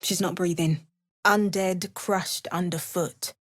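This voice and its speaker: A woman's voice: on the low side, steady tone, takes it slowly, no echo, clean studio sound. A woman playing an alert, determined adventurer in a video game, giving short remarks and dramatic spell incantations. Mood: measured, observant. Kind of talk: video game character voice lines.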